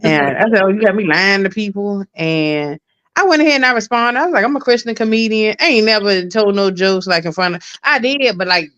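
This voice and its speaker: Smooth-voiced woman, dry and a little muffled. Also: mid-range voice, a little fast, a bit bright, no room sound. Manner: chatty, down-to-earth, slangy. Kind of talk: casual storytelling chat.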